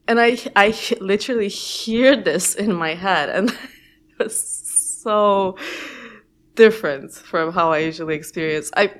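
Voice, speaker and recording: A woman's voice: mid-range voice, measured pace. A woman whose first language is Romanian, telling stories casually with frequent laughs and restarts. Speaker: guest. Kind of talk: casual reflective conversation.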